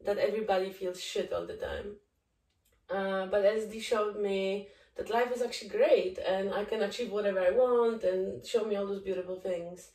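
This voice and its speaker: A woman in her thirties with a Polish accent speaks candidly about her own past, mid-pitched and open in manner. She is recorded from across an echoey living room, sounding distant and roomy. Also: normal speed. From Poland. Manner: open, candid, animated in places. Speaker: guest, documentary filmmaker. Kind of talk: personal storytelling in interview. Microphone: far camera. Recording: echoey room, far from mic.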